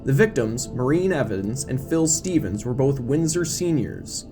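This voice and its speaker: A composed television newscaster read by a man in an audio drama. The delivery is formal, factual and measured, at a middle pitch. Music plays underneath. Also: very animated. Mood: grave, factual. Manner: measured, factual news reporting.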